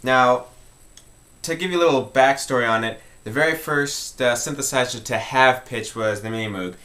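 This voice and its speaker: A man's voice: mid-range voice, normal speed, a bit bright. Man giving an informal tutorial. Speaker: music tutorial host. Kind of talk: informal instructional talk.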